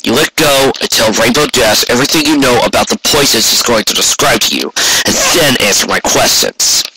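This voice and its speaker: A man voicing a firm, authoritative stallion guard captain in a story reading, measured and commanding. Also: mid-range voice, lively intonation, noisy background, lightly acted.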